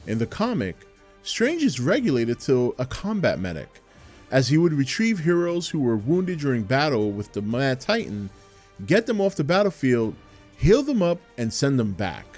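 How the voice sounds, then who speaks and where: A man's voice: mid-range voice, darkish tone. A man hosting a video with scripted narration. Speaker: video host. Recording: music behind the voice. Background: music.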